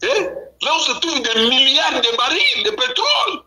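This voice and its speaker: High bright man, insistent "hein?". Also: very animated, normal speed, roomy sound. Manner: repeats himself, punctuates with "hein?". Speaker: guest commentator. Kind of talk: heated political talk.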